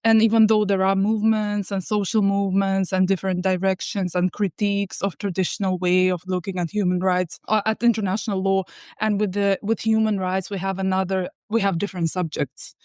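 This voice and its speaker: A woman from Turkmenistan speaks as a podcast guest at a medium pitch. She lays out her points carefully and restarts sentences as she goes, and the recording is dry with no room echo. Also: level tone, a little fast, a little mellow, clear, resonant tone. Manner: thoughtful, explanatory, with frequent restarts. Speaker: guest, academic. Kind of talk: podcast discussion.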